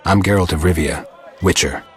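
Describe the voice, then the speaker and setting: A man voicing a gruff, stoic monster hunter with dry wit and laconic delivery in a fantasy video game. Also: sits low, normal speed, close to an everyday voice. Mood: dry, businesslike. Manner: deadpan, laconic. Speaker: player character of a fantasy game.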